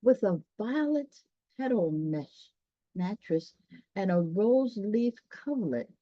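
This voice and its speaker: A woman's voice: medium pitch, expressive, takes it slowly, no echo, lo-fi sound. A woman reading a children's story aloud expressively, with folksy, chatty asides to her listeners.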